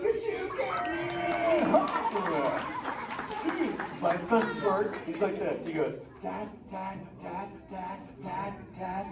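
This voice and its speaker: Excitable high-pitched surfer dude sea turtle. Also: male voice, full character voice. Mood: goofy, upbeat. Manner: drawling surfer slang, goofy and excitable. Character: laid-back surfer dude sea turtle, exuberant adult male. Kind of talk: live improvised theme park show banter. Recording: echoey theme park theater, distant, noisy.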